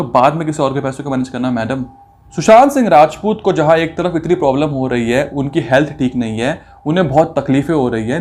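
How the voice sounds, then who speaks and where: Male commentator, voice a bit high, indignant and accusatory, rhetorical, drops in English phrases. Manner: mixes English phrases into speech. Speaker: video commentator. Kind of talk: opinionated commentary on a recording.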